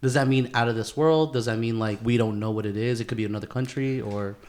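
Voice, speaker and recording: Guy podcast cohost, medium pitch, cusses a lot, super casual slangy chat. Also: steady tone, fast talker, a little mellow. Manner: slangy, profane, informal. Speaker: podcast co-host. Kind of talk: casual banter.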